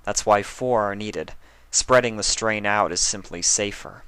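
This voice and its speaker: A man voicing a stern but caring pony princess of the night who talks softly and gravely. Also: fairly low pitch, a little slow, dry recording.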